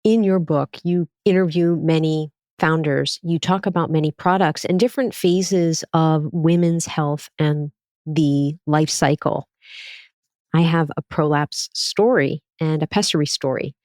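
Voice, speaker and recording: A woman's voice: deep voice, fairly even intonation, unhurried, a little mellow, dry recording, studio quality. A woman doctor hosting a podcast who asks direct, earnest questions and tells plain stories from her training. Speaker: physician podcast host. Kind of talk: podcast interview questions and storytelling.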